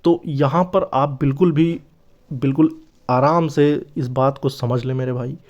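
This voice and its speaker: A man's voice: mid-range voice, fairly even intonation, measured pace, darkish tone, highs cut off. A man giving an informal explainer to viewers as an online presenter, addressing them in a familiar, brotherly way with frequent check-in tags. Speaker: YouTube presenter of exam updates.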